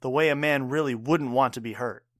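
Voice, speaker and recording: A man's voice: medium pitch, fairly quick, fairly bright tone, no room sound, studio quality, natural voice. An actor playing a proud, wounded war veteran father and bartender in an audio drama, speaking earnestly and tersely. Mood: pained, proud.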